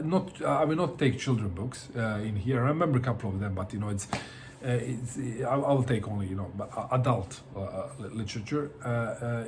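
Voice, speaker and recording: A man's voice: lowish voice, noisy background. A middle-aged man from Bosnia, talking casually to camera about books, hesitant, with many fillers and repeated words. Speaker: book vlogger.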